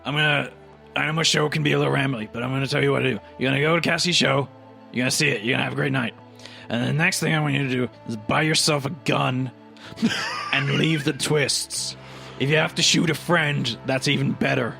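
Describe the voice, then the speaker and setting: A man's voice: medium pitch, fairly even intonation, a little fast, creaky, pushed and bright, soft background music. A man playing a glib, falsely friendly journalist in a tabletop game, patronising and repetitive as he coaxes. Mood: rambling, brash.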